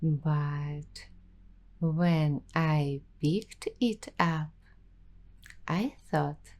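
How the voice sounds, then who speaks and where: A woman hosting an ASMR roleplay, talking softly and slipping into whispers now and then. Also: deep voice, drawn-out words. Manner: soft-spoken, slipping into whispers. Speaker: ASMR host.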